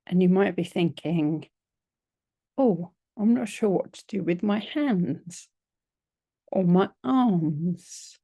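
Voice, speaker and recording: A woman teaching yoga nidra, leading a guided relaxation in a gentle, soothing, unhurried manner with long pauses. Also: fairly low pitch, lively intonation, creaky, no echo, clean studio sound.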